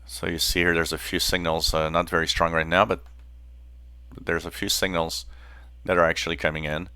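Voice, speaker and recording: Very deep-voiced man, bright and forceful. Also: little pitch movement, normal speed, clear, resonant tone, no room sound. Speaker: host of a shortwave radio channel. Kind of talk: informal explanatory demonstration.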